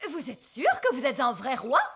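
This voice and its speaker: A woman's voice: sits high, sing-song, normal speed, fairly bright tone, breathy edge, full character voice. A woman voicing a bossy, fussy fairy nanny in a children's cartoon, with a haughty, scolding manner. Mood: indignant. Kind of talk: animated children's cartoon dub. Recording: music behind the voice.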